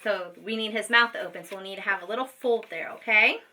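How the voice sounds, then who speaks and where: A woman's voice: mid-range voice, quick pace, pushed and bright. A woman teacher giving step-by-step craft instructions to children in an informal, patient way, often checking in as she goes. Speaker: special education teacher. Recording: distant microphone.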